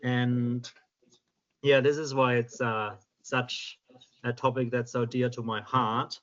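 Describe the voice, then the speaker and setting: A man's voice: mid-range voice, flat delivery. Earnest German-accented man on a call. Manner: earnest, halting, many fillers. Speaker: public submitter. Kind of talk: public hearing submission over video call. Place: home. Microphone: video call. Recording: lo-fi video call audio.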